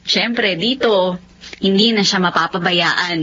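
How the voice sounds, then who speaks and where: Kind young Filipino aunt, mid-pitched. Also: female voice, takes it slowly, pushed and bright, slightly rough, full character voice. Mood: warm, devoted. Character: kind, polite young aunt and devoted daughter. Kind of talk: Tagalog radio drama acting. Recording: muffled, faintly noisy radio drama audio.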